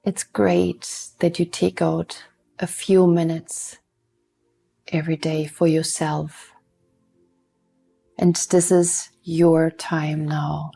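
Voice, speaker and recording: A woman leading a guided mindfulness meditation in a soft, soothing manner, unhurried and leaving long pauses between instructions. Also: sits low, level tone, vocal fry, faint music behind.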